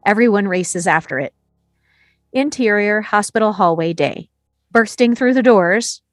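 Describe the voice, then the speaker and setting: A woman reading screenplay action lines as scripted narration, in a storytelling way with short pauses. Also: mid-range voice, lively intonation, no echo, studio quality, soft background music. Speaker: stage directions reader.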